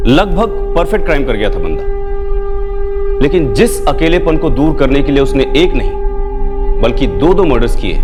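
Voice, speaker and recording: A man narrating a crime show in a scripted, grave and reflective style, with rhetorical questions and dramatic pauses. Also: a little high.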